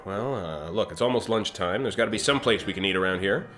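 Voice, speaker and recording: A man's voice: on the low side, fast, fairly bright tone, lightly acted. A man playing a pompous, self-centred, sarcastic starship captain in a comedic sci-fi radio play, speaking in a haughty, theatrical way. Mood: smug, impatient.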